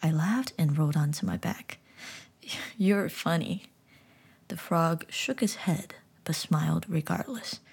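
A woman narrating a children's story in a soft, whispery voice. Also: low-pitched, expressive, gravelly, slightly rough. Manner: soft, whispery, slow reading.

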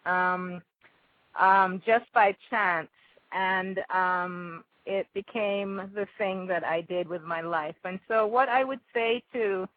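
A woman's voice: mid-range voice, slow pace, no echo, narrow, tinny audio. A woman with a Jamaican accent giving thoughtful, conversational answers as an interview guest.

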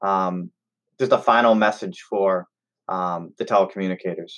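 Lower-voiced male interviewer, slightly muffled. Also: steady tone, normal speed, a bit bright. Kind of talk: conversational interview questions. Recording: slightly dull lo-fi audio.

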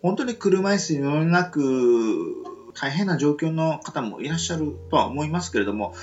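A man's voice: on the high side, lively intonation, normal speed, a little mellow, muffled recording. A man hosting a casual talk show, speaking politely in a rambling, hedging way. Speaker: radio show host.

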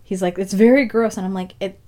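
A young woman co-hosting a podcast, English not her first language, chatting casually and acting out quoted dialogue with big jumps in pitch. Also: fairly even intonation, fast, mellow. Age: young adult. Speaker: podcast co-host. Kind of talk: casual chat and storytelling.

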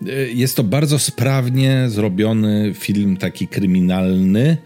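A man speaks in a fairly low voice over faint music. He co-hosts a casual film discussion, haltingly rewording and qualifying what he says. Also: fairly even intonation, warm tone. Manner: halting, rephrasing, qualifying his points. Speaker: podcast co-host reviewing films.

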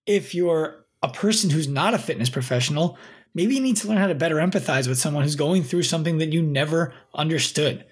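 A man hosts a casual podcast, speaking with emphatic, preachy conviction. His voice sits a bit high and has a husky edge. He keeps tossing in "right?" to pull the listener along. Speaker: podcast host.

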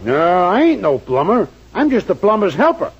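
A very high-voiced, swooping plumber's helper from old radio comedy. He is dismissive and folksy, with ungrammatical working-class talk, on a dull vintage recording. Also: very animated, normal speed, husky, heavily acted. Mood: grudging, dismissive. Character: grumbling, brush-off plumber's helper, slangy working-class man. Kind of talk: 1940s radio comedy acting.

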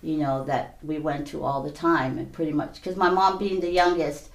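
A woman from Hawaii and California, telling her own story casually and haltingly as an interview guest. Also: low-pitched, fairly even intonation. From Hawaii and San Mateo County, California. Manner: conversational, halting, repeats "you know". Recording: roomy, echoey space.

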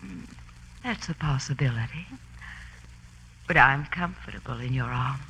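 Bright, coy rich divorcee. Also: female voice, extremely low pitch, measured pace, heavily acted. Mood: coy, flirtatious. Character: rich, flirtatious, guarded divorcee. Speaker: radio actress. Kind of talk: old-time radio drama acting. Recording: noisy old radio recording.

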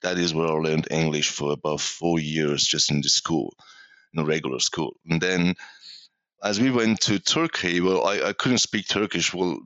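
A man in his forties from Iran with a Persian accent, talking casually and haltingly as a podcast guest, often repeating words. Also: low-pitched, a bit bright, no echo, highs cut off. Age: 40s. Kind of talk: casual conversational interview.

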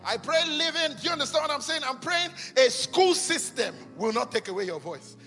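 A man preaching a sermon in a sing-song cadence that swells to shouts and falls to near-whispers. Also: very high-pitched, expressive, brisk. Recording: long reverb of a large hall with music under.

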